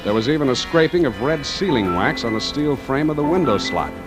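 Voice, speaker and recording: An old radio recording with music behind a cool, cynical American private eye as a male actor plays him. He is wry and terse, in hardboiled 1940s noir style, with a medium-pitched voice. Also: lively intonation, a little fast, lightly acted. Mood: wry narration. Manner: dry, sardonic, terse. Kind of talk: 1940s radio noir acting and first-person narration.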